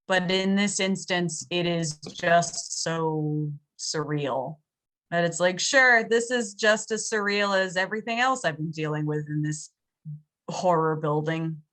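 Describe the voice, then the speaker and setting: A woman's voice: on the low side, fairly bright tone. A woman improvising conversational narration as game master of a tabletop role-playing game. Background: background music.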